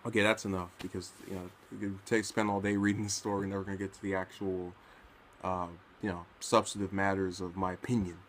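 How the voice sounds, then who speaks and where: A man's voice: sits low, flat delivery, fast talker, a little hiss or hum. A man casually reading and commenting on news, stumbling over his words now and then.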